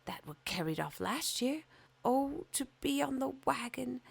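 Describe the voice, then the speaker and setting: A young fir tree in a storybook, restless and yearning, its voice lifted well above the reader's own and slipping into breathy whispers, as a woman acts it out. It asks its questions in a breathless rush. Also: fairly high pitch, a little mellow, pitched above the performer's own voice, full character voice. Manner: eager, longing, breathy at times. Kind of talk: storybook reading.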